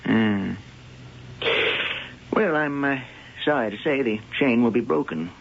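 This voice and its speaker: An actor playing a suave, secretive male professor who studies cats, smooth and evasive in 1970s radio acting. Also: medium pitch, slow. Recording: lo-fi old radio broadcast with hiss.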